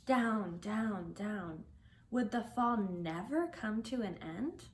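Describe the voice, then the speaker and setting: A woman reading a children's story aloud as a teacher would, with expressive, deliberate phrasing for learners. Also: mid-range voice.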